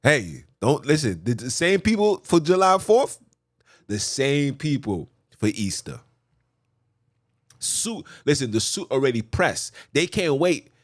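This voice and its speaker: A man hosting a podcast, preaching a monologue with animated, emphatic delivery, often jumping high in pitch and swinging between loud and hushed. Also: unhurried. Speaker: podcast host.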